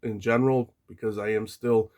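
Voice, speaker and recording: A man hosting his own vlog, talking casually and excitedly, his voice a little off while he recovers from an illness. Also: fairly low pitch, moderate pace. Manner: chatty, enthusiastic, with frequent restarts. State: getting over an illness, voice a bit off. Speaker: channel host.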